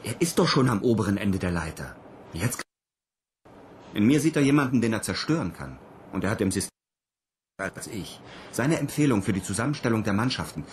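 Radio drama cosmonaut: low, brooding, lonely and bitter, with clearly noisy ambience behind him. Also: male voice, very animated, brisk, darkish tone. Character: lonely, embittered male cosmonaut aboard a space station. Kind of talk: German radio drama acting.

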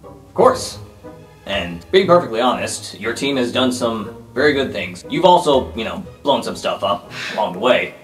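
A man playing a stern, guarded middle-aged father in a superhero roleplay, firm and measured. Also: mid-range voice, sing-song, faint music behind, lightly acted.

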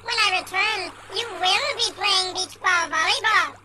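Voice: very high voice, measured pace. An actor playing a bossy alien overseer giving clipped, imperious orders in a sci-fi radio serial.

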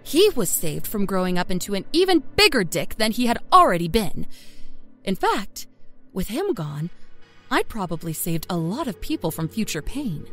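An actress playing a wry, unrepentant small-town woman, telling her story in an expressive, conversational, folksy first-person narration. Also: mid-range voice. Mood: wry, matter-of-fact. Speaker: audio fiction narrator.

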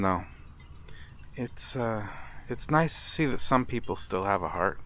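A man playing a brooding, courteous vampire with quiet menace in a soft-spoken, theatrical ASMR roleplay, dropping into whispers. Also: on the low side, a little hiss or hum, heavily acted. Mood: gentle, polite. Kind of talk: ASMR roleplay, dramatic monologue.

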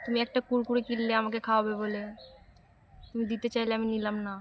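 High-voiced woman, quick flirty prank caller, teasing and sulky, faint noise behind. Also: level tone. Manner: teasing, sulky sweet-talk. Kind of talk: prank phone call, playing a flirty stranger.

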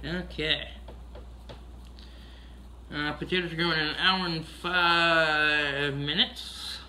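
A man's voice: on the high side, steady tone, slow pace, sharp tone, a little hiss or hum. A man casually narrating a farming video game, humming "mm-hmm" between steps, recorded on a camera across a room. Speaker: gameplay narrator.

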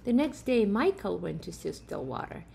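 A middle-aged woman reading a children's story aloud in an expressive storytelling style, with deliberate phrasing. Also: mid-range voice, creaky, a little hiss or hum. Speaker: reader of a children's picture book. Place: room at home, indoors.

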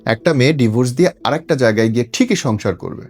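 A man's voice: mid-range voice, expressive, fast talker, a little mellow. A man with a Bangladeshi accent, a host giving opinionated relationship advice in an emphatic, preachy way with rhetorical questions. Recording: music playing behind the voice. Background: background music.

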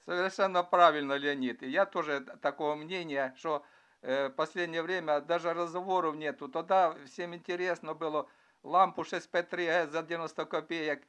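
A man chatting casually as an amateur radio operator in a halting, hesitant way, recorded indoors at his desk. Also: on the high side, fairly even intonation, fairly bright tone, smooth. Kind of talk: casual ham radio chat, asking questions.

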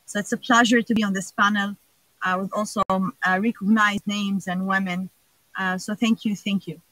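A woman's voice: medium pitch, fairly even intonation, moderate pace, sharp tone, dry recording, low-quality recording. A woman from Gaza, speaking earnestly over a video call with many fillers. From Gaza, Palestine. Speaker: panel guest. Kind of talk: personal talk on a video call.

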